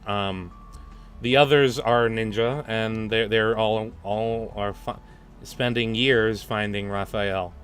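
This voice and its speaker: A man's voice: on the low side, steady tone, takes it slowly, a bit bright. A man hosting a casual livestream, speaking hesitantly with stammers and a giggly manner over a music playlist. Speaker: livestream host.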